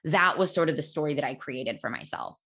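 A woman in her thirties, a podcast host, telling her own story in a candid, chatty way, loud-voiced, with fillers and restarts. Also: sits low, expressive, brisk, slightly rough. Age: 30s. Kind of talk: personal storytelling monologue.

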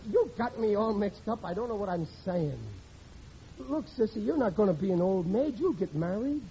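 An actor playing a soft-hearted, flustered working-class American married man in an old radio comedy, coaxing and pleading with stammers. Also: high-pitched, expressive, normal speed. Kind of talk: 1940s-50s radio comedy acting. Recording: dull, noisy old radio recording with audience.

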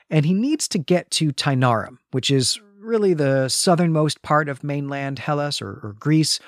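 A man's voice: a little high, no echo, studio quality. Explanatory, enthusiastic man co-hosting a podcast. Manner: enthusiastic, explanatory, often adds "right?". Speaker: podcast co-host. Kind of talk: podcast discussion with prepared recap.

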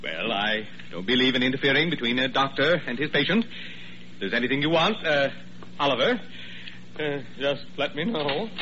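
An actor playing a pompous, flustered hospital administrator who blusters and stammers in old radio drama style. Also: male voice, mid-range voice, animated, normal speed, natural voice. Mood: flustered, ingratiating. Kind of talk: 1940s radio comedy-drama acting. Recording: muffled, noisy old radio recording.

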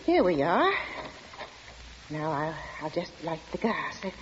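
A woman's voice: sits low, big pitch swings, a little slow. An actress playing an anxious, deferential working-class landlady in an old radio drama, stammering and eager to please. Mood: eager, nervous. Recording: dull, noisy old radio recording.

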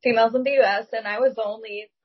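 A woman's voice: on the high side, steady tone, very fast, lo-fi sound. A woman from Lebanon who speaks English as a second language, talking thoughtfully and carefully in a reflective, conversational interview. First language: not English (English is second language). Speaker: guest.

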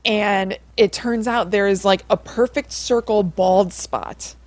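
Female podcast co-host, ordinary mid pitch, loose conversational storyteller with a teasing, wry streak. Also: steady tone, slow, no room sound. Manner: rambling, wry, playful teasing. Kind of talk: casual chatty storytelling.

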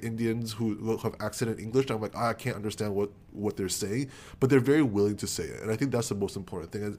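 Young guy, lowish voice, chill and rambly, lots of fillers. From Taiwan. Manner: relaxed, filler-heavy, lots of "like" and "you know". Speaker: guest, co-founder of a Taiwanese American group. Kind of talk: casual interview answers.

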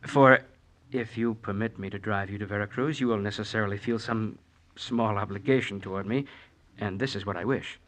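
A man's voice: lowish voice, steady tone, fairly quick, few pauses, lightly acted. An actor playing an intense young male zealot, formal, courtly and fervent, in an old-time radio drama. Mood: courtly, pressing. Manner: fervent, formal, swelling from hushed to forceful.

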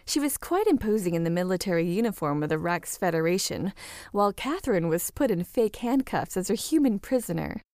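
A woman's voice: on the low side, expressive, fairly quick, a little mellow, dry recording, studio quality. A woman reading a scripted story as an audiobook narrator.

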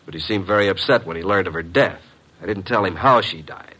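An actor playing a wisecracking, cheeky detective's assistant with a wry, breezy manner in an old-time radio drama. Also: male voice, deep voice, brisk, pushed and bright, husky, exaggerated voice, low-quality recording. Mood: matter-of-fact.

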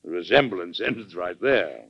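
Genial old president on old-time radio, chuckly and folksy, voice goes up and down a lot, muffled recording. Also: male voice, low voice, expressive, unhurried, breathy edge, full character voice. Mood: genial, reassuring. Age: older. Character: genial, unflappable older male US president telling his own story. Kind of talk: 1940s radio drama acting.

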